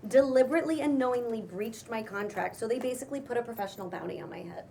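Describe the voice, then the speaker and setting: A woman acts out a self-pitying, defensive female writer in a comedy-drama table read. Her voice is fairly high and conversational. It is recorded at some distance from the mic, with faint room noise. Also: quick pace, darkish tone, natural voice. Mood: dejected. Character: Janie, mopey, defensive broke female writer, freshly dumped. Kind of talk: casual cold read of comedy-drama script.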